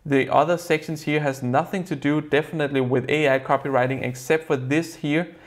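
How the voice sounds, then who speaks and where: A young man with a Danish accent, a native Danish speaker, earnestly explaining a software review to camera from a desk in a plain room. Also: fairly high pitch, steady tone, brisk. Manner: earnest, explanatory, slightly non-native phrasing.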